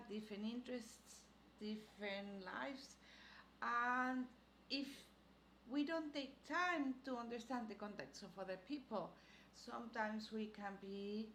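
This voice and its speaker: A woman on a podcast speaks very slowly in a slightly high voice. Her words sound roomy and echoey, with a faint noise underneath, and she weighs each phrase. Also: fairly bright tone. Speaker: podcast guest. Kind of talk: casual podcast talk.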